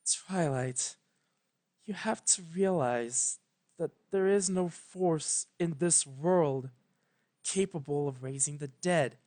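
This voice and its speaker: A grave, motherly-aged pony sun goddess from a storybook fantasy, voiced by the narrator. She speaks slowly and quietly, with firm solemnity. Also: medium pitch, close to an everyday voice. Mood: grave sorrow.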